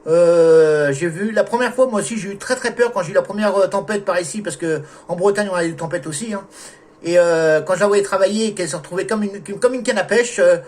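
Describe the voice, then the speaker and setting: French guy on ham radio, pretty high voice, casual chat, polite sign-offs. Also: a little fast, a little mellow. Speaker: amateur radio operator.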